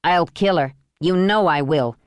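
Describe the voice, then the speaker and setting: A woman's voice: on the low side, measured pace, clear, resonant tone, no room sound, studio quality, close to an everyday voice. A woman voicing a vicious, cornered male killer in an audiobook thriller, curt and threatening. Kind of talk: audiobook thriller character acting.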